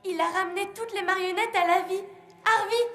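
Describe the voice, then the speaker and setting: A woman voicing a sensible, curious, encouraging child sidekick in an animated film. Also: extremely high pitch, normal speed. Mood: urging. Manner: questioning, earnest. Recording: echoing sound with music behind.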